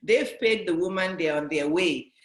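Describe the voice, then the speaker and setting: A woman's voice: lowish voice, moderate pace, roomy sound. A woman business coach with a Nigerian and British accent, giving an earnest motivational talk to camera and driving her points home by restating them.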